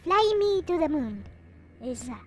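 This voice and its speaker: A woman hosting a live stream in casual chat, rambling along with frequent hesitant pauses. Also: high voice, big pitch swings, slight background noise.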